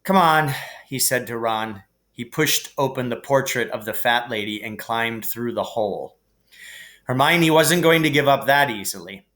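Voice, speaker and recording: A man narrating a children's fantasy novel aloud in an expressive storytelling manner. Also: medium pitch, normal speed. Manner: expressive, character voices for dialogue. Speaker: reader, teacher reading aloud.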